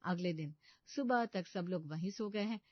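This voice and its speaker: A woman's voice: on the low side, normal speed, dry recording, dull audio. A woman narrating a scripted comedy story.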